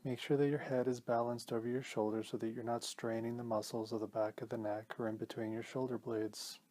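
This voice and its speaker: A man leading a guided meditation as a teacher, speaking in a calm, gentle, measured way with pauses. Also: medium pitch.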